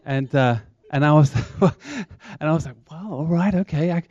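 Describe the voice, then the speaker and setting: A man's voice: fairly high pitch, animated, unhurried, darkish tone, no room sound, muffled recording. A man from South Africa with a South African accent, giving a chatty, engaging talk to a church congregation as a charity speaker. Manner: chatty, engaging, asks the crowd questions.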